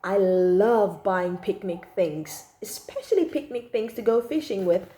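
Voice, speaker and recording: A woman reading a children's story aloud in an expressive, emphatic storytelling style. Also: mid-range voice, measured pace. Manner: animated, emphatic, acts out the child narrator's feelings. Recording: echoey room.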